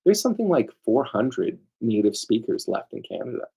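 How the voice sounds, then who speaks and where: A man lectures informally in a mellow, raspy voice with plenty of fry. He speaks emphatically, drifting into asides and putting rhetorical questions to his listeners. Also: mid-range voice, big pitch swings, moderate pace. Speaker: lawyer presenting a seminar.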